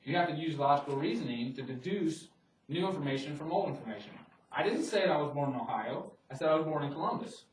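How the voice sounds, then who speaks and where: A man's voice: a little high, lively intonation, fast, a little hiss or hum. A man teaching a math class, talking in a loose, conversational way with frequent restarts and fillers. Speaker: math lecturer. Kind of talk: classroom lecture. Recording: roomy classroom audio from a distant mic.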